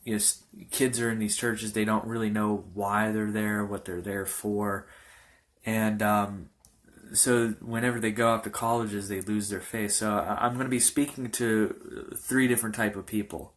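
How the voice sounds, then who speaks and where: A man in his early twenties, a pastor giving an informal personal testimony in a halting, loosely rambling way. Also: on the low side, little pitch movement, a bit bright. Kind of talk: informal testimony talk to camera.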